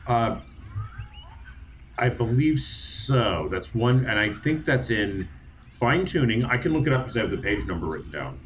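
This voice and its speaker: A man playing at a tabletop game, eager and lively, joking along with the group. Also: mid-range voice, a little slow, a little hiss or hum. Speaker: player. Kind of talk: casual tabletop play.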